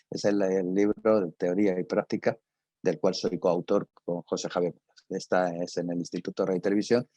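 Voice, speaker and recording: A man's voice: low-pitched, warm tone, no echo. An older man with a Castilian Spanish accent giving an informal lecture, conversational and digressive, telling anecdotes and addressing his listeners directly. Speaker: lecturer, radio drama teacher.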